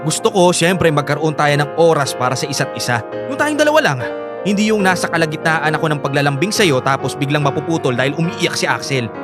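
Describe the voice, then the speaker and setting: A man's voice: fairly high pitch, animated, fast talker, close to an everyday voice. A man acting an earnest young husband who feels neglected, with a Filipino accent, in a radio drama. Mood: frustrated, pleading. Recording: dry studio drama over background music.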